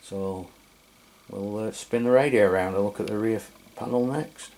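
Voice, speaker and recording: A man hosts a casual hands-on review in a deep voice. He talks in a matter-of-fact, rambling way, feeling for his words as he goes. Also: moderate pace, a little mellow, rough recording. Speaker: radio review host.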